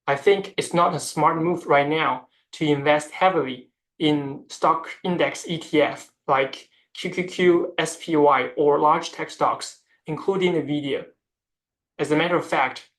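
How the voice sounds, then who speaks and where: Measured, formal finance narrator. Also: mid-range voice, poor audio. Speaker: investment video host.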